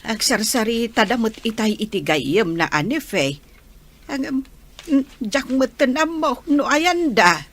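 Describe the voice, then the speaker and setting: A woman playing a gentle, elderly grandmother in an audio drama, speaking in an unhurried, counselling way. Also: on the high side, lively intonation. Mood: calm, explaining.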